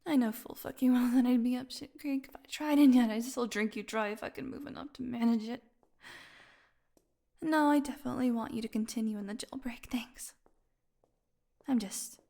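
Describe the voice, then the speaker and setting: A woman playing a weakened, hungry female vampire in an intimate audio roleplay, sarcastic and teasing, with a breathy voice that often drops into a whisper. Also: fairly high pitch, dark tone. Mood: hungry, longing. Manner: sarcastic, breathy murmurs, drawn-out weary delivery.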